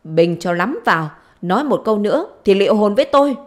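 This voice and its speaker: A woman's voice: medium pitch, lively intonation, quick pace, natural voice. A woman voicing an angry, stern middle-aged village husband in a story, scolding and making threats. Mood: threatening. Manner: gruff-worded scolding and threats.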